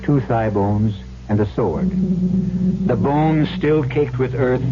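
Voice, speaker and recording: A man narrates a radio drama with measured, ominous solemnity at a medium pitch. Prominent music plays behind him, and the vintage recording is slightly muffled. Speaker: host and narrator.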